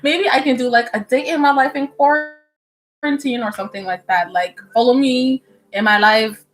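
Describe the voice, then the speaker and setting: A young woman from the Bahamas, a medical student, chatting casually with viewers on a livestream at home, animated and full of fillers and laughs. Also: sits high, normal speed. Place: indoors at home. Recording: soft music playing behind.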